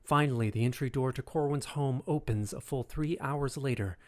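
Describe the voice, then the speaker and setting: A man's voice: medium pitch, normal speed. A man reading scripted dramatic narration for an audio drama.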